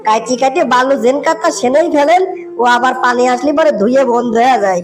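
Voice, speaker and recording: A cheeky cartoon underling acted by a woman, a little man with a very high voice and a northern Bangladeshi accent. He sounds respectful on the surface but keeps needling and challenging. There is music behind him. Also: fast, natural voice. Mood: needling, complaining. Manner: needling, deferential yet challenging. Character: cheeky, questioning male underling of an official. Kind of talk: comic cartoon dialogue.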